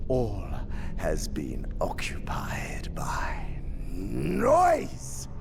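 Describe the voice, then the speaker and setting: A man playing an imperious senior demon in a theatrical radio drama, venomous and contemptuous, with a gravelly voice and grandiose, sneering delivery. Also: on the high side, exaggerated voice. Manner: grandiose, sneering, savoring words, swinging from hiss to roar.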